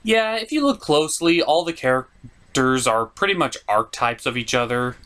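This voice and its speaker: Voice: mid-range voice, animated, normal speed, music in the background. A host doing a scripted comedic video review in an opinionated, sarcastic, mock-exasperated way.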